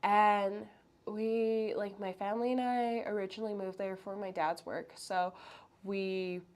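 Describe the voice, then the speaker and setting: A woman's voice: medium pitch, fairly even intonation, unhurried, a little mellow. A young woman from Hawaii, a college student who works as a peer advisor, chatting in an upbeat, friendly way. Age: young adult. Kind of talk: casual chat.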